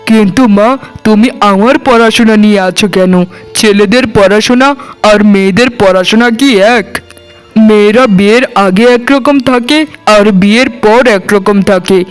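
Man playing a clear, high-pitched defensive youngster. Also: moderate pace, faint music behind, lightly acted, rough recording. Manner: argumentative, self-justifying. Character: defensive, argumentative grown child talking back to mother. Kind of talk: cartoon voice acting.

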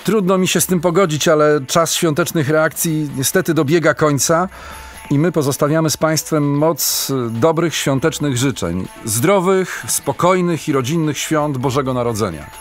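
A polite male radio host played by an actor talks in a hesitant, conversational way in a radio play. His voice sits a little high, with faint music behind. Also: moderate pace, natural voice. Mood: amazed, grateful. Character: polite, amazed radio call-in show host. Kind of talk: radio play as live phone-in.

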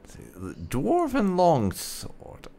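A man's voice: high voice, huge pitch swings, takes it slowly, vocal fry, dark tone. A man giving casual, wry commentary on his video game playthrough, often half talking to himself. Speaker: gaming video host.

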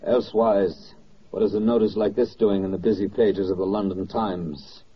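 Deep, husky 1940s radio narrator. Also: male voice, fairly even intonation, normal speed. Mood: grave, oratorical. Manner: solemn, oratorical, rhetorical. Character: grave, poetic narrator of wartime radio drama. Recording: muffled old 1940s radio recording.

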